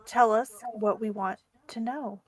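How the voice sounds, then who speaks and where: A woman's voice: on the high side, steady tone, measured pace. A woman playing a tabletop game, casually describing what her character does. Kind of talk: casual roleplay, describing actions.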